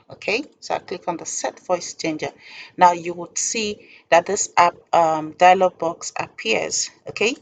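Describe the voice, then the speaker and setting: A woman presenting an informal step-by-step software tutorial in a halting, deliberate way. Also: on the low side, vocal fry, a little hiss or hum.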